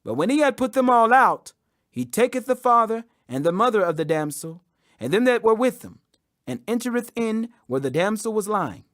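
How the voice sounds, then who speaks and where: High-pitched man narrating scripture, very animated. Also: moderate pace, dry recording, studio quality. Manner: solemn, emphatic, raising the voice for quoted speech. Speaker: scripture reader. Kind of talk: dramatic audio Bible narration.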